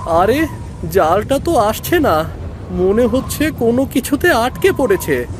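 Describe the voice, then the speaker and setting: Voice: mid-range voice, sing-song, measured pace, noisy background. An actor voicing a brave, protective big brother, a village boy in a cartoon story. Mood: urgent.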